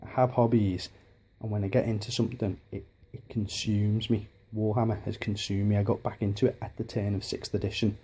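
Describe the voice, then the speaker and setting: A man in his thirties from Liverpool, chatting casually on a home vlog with a softened Liverpool accent, rambling with many restarts and ums, filmed on a handheld camera in his dining room. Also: on the low side, flat delivery, fairly quick, hardly any pauses, a little hiss or hum. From Liverpool, England. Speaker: hobbyist vlogger. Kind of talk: casual home video chat.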